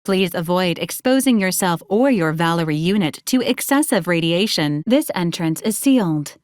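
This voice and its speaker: A woman playing a polite female robot assistant in a video game, speaking in formal, procedural phrases with a deadpan, literal manner. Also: fairly low pitch, moderate pace, no room sound, clean studio sound, natural voice. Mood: politely officious. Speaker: robot companion giving mission advisories. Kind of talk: scripted video game character lines.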